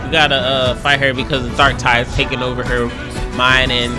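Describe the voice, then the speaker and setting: A man's voice: mid-range voice, flat delivery, fairly quick. A young man hosting a gaming video, giving casual, rambling gameplay commentary in informal slang. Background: game music.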